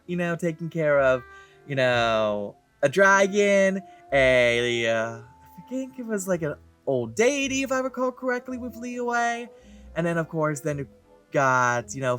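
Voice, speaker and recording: Let's play host, very animated pitch swings, playful sarcasm, casual reactions over game music.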